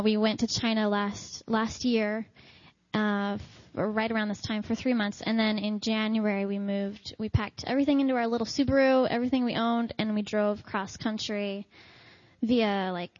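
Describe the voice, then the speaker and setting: A woman answering interview questions about her husband in an earnest, lightly halting way. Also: on the high side, steady tone, dry recording. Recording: dry, dull lo-fi sound.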